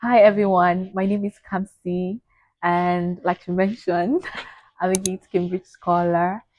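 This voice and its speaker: A woman's voice: on the low side, level tone, slow pace, a little mellow, no room sound. A woman in her twenties from Eastern Nigeria, chatting as an interview guest and laughing often. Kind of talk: casual interview chat.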